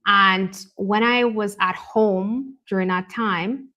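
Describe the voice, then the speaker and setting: A woman's voice: medium pitch, steady tone, slow, sharp tone, highs cut off. A woman guest on a talk show, a life coach from Canada, talking candidly and reflectively, often adding "right?" as she goes. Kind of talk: conversational interview.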